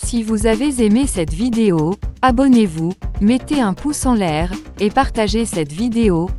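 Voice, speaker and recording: A woman's voice: medium pitch, lively intonation, normal speed, mellow, soft background music. A woman reading a polite scripted outro asking viewers to subscribe. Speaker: channel outro voice.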